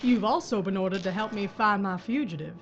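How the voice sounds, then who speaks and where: A woman's voice: medium pitch, fairly quick, highs cut off, a little hiss or hum, close to an everyday voice. A woman playing a blunt sheriff. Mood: steely. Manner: terse, dry, gritty. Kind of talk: audio drama acting.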